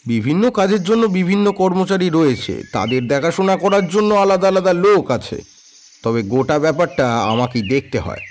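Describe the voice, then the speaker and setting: Audio drama estate manager, played by a man: helpful, careful, explanatory, pitched a little high, over faint outdoor bird noise. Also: animated, moderate pace, darkish tone, natural voice. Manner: polite, hesitant, explanatory. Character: courteous tea-estate manager, guarded but helpful older employee.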